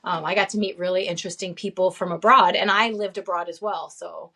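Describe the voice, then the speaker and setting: A woman in her late forties talks through her life story in a relaxed interview. Her voice sits a little low for a woman. She laughs easily and often stops to restart with an um. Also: brisk. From upstate New York, raised in Saudi Arabia. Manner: warm, laughs often, frequent ums and restarts. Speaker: interview guest. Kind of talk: casual conversational storytelling.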